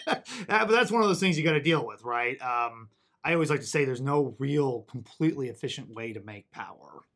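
A man's voice: medium pitch, expressive, a little fast, fairly bright tone. A man hosting a podcast interview, conversational and chatty, laughing easily. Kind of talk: casual interview chat.

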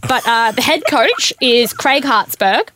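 A woman's voice: fairly high pitch, unhurried, sharp tone, a little hiss or hum. A woman in her twenties from Australia with an Australian accent, telling chatty stories as a podcast guest host, full of "like" and "um". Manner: chatty, storytelling, many fillers like "like" and "um". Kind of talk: casual podcast chat.

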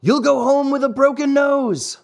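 A man's voice: high voice, big pitch swings, a little slow, pitched above the performer's own voice, heavily acted. A man voicing sneering, bullying schoolboys in a storybook, jeering and scolding. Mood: threatening. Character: gang of sneering, bullying schoolboys, threatening and teasing.

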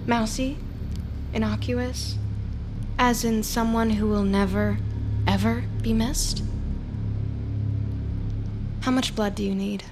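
A sly, manipulative woman in an audio drama. Her voice is on the high side and unhurried, coolly sardonic and coaxing, with a callous edge. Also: steady tone, music in the background. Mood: mocking, cold.

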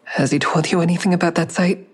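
Low, husky, insistent woman. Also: steady tone, quick pace. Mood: tense, insistent. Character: tired, insistent woman confiding in police. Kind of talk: audio drama dialogue.

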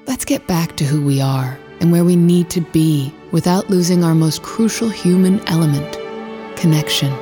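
Actress doing a poised lady tech founder, low voice, slow deliberate pitch, music right under it. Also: dark tone, natural voice. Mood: assured, inviting. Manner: deliberate, measured phrasing with pauses. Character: poised visionary female tech founder giving a soothing company pitch. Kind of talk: scripted promotional speech in a fiction podcast.

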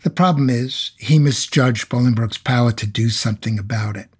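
A male literary scholar lays out his argument in a measured, analytical way. His voice sits at a middle pitch with a slightly husky edge. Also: a little mellow. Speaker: Shakespeare scholar.

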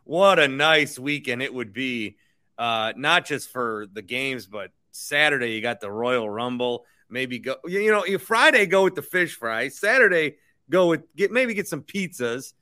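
Bright-voiced man co-hosting sports talk. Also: medium pitch, lively intonation, measured pace. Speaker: sports podcast co-host. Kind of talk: casual sports chat with sponsor reads.

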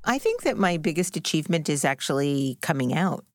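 A woman's voice: deep voice, sing-song, brisk, no room sound, clean studio sound. A woman over fifty, a podcast interviewer talking in a thoughtful, conversational style.

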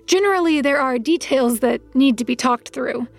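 An actress playing an uncertain young woman, narrating in a hesitant, measured way in an audio drama. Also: sits high, vocal fry, faint music behind.

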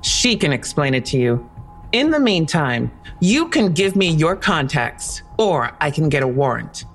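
A cool, intimidating policewoman in an audio drama. Her low voice moves expressively through curt threats, with faint music behind. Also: big pitch swings, measured pace, heavily acted. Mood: cool, menacing.

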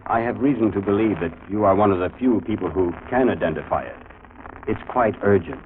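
An actor playing a grim, cryptic cemetery watchman in a 1940s radio mystery, speaking in a measured, ominous calm. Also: on the low side, narrow, tinny audio, natural voice. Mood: calm, insistent. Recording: old 1940s radio broadcast, thin, faint noise.

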